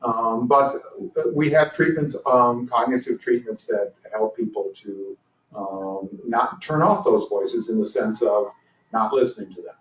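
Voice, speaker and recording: A man's voice: medium pitch, a little slow. Older man lecturing in an echoey hall. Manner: dry humor, frequent fillers and restarts. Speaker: lecturer at a legal training. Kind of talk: conference lecture. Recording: roomy, distant, dull lecture recording.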